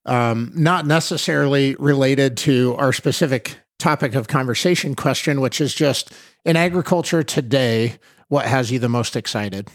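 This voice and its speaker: A man's voice: medium pitch, normal speed, no echo. Man co-hosting a podcast, dry close sound. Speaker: podcast co-host. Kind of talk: casual podcast chat.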